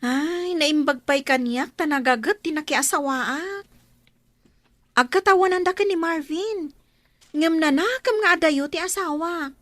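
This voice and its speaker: Radio drama role: nosy, boastful married friend, high voice, Ilocano accent, dry studio sound , played by a woman. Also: measured pace, fairly bright tone, dry recording.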